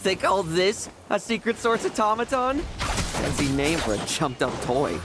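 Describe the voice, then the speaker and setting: A man's voice: high-pitched, sing-song, a little slow, very noisy. A man voicing a cocky, playful young knight officer in a video game, with a teasing, boastful manner. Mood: scornful.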